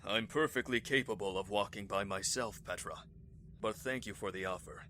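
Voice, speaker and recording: A man playing a composed, dryly firm military commander in an anime parody, formal and measured. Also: fairly low pitch, background noise, natural voice.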